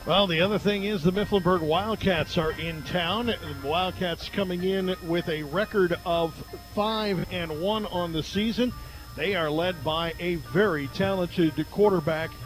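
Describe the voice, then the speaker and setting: A man's voice: sits high, low-quality recording. A man doing conversational pregame football commentary on the radio, emphatically reeling off stats as the play-by-play announcer. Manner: emphatic, reels off statistics.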